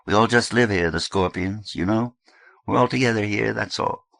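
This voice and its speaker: A man's voice: fairly low pitch, normal speed, vocal fry, fairly bright tone, no room sound, voice pushed up. A man voicing a young male gang member who talks defensively and gets agitated.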